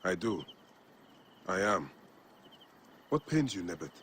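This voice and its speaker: Deep-voiced, solemn warrior protector. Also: male voice, big pitch swings, slow, slight background noise, heavily acted. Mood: solemn, steady. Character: stoic young warrior protector of ancient Egypt, earnest. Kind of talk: video game cutscene acting. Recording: loud music behind the voice.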